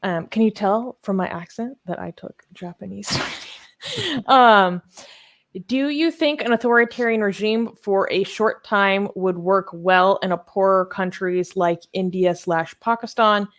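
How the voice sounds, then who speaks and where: A woman's voice: mid-range voice, measured pace, fairly bright tone. A young woman co-hosting a live Q&A chat, thinking aloud and hesitant, with many ums. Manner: thoughtful, hesitant, lots of ums and laughs. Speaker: co-host.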